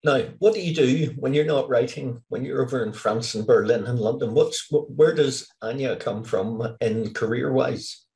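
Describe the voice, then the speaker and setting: A man's voice: mid-range voice, normal speed, gravelly, few pauses, a little mellow, rough recording. A man hosting an author interview in a friendly, chatty, upbeat manner. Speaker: interview host. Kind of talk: casual interview.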